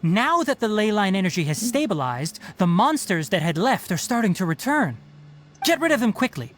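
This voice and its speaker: A man voicing a composed, knowledgeable young forest ranger in a video game, measured and precise as he explains. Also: high-pitched, very animated. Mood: commanding.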